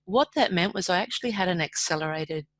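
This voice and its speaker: A woman's voice: on the low side, lively intonation, fast talker, a bit bright, no room sound. A middle-aged woman with an Australian accent, telling her personal story in a reflective, earnest, conversational way as an interview guest.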